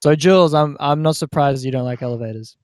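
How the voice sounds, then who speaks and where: A man hosting a podcast, telling stories in an animated, casual way and often checking in with his listeners. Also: a little high, fast, no room sound, studio quality. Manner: animated storyteller who often checks in with "right?". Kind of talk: casual storytelling chat.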